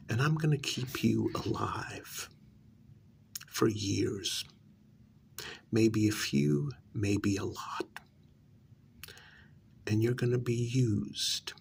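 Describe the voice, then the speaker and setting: Vengeful male vampire , performed by a man, ASMR role-play. Lowish, breathy, quietly threatening, very unhurried delivery, faint noise behind. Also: exaggerated voice. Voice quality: breathy half-whisper with gravelly fry. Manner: slow, deliberate, quietly threatening with drawn-out pauses. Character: cold, vengeful male vampire lord, menacing and cruel.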